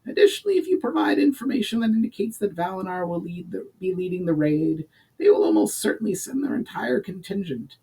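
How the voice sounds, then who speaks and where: A man's voice: high-pitched, very animated, brisk, vocal fry, dark tone, husky, pitched above the performer's own voice, heavily acted, poor audio. A man voicing a shrewd, scheming goblin strategist with an eager, calculating manner and formal diction, in a storybook reading.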